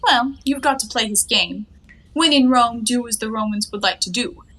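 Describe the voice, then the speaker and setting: A woman's voice: a little high, normal speed, a bit bright. An actress playing a carefree, cheeky young woman of a 1920s stage comedy, with airy, teasing, mock-grand phrasing. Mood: flippant, carefree. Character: playful, cheeky, carefree young woman lounging in her bath.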